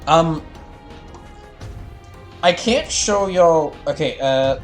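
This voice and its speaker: A man's voice: on the high side, animated, very slow, soft background music. A man hosting a livestream, chatting casually with viewers and explaining things in a rambling way, often restarting his sentences. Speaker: streamer and host of a live fandub. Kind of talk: casual livestream commentary, chatting with viewers and reading game dialogue.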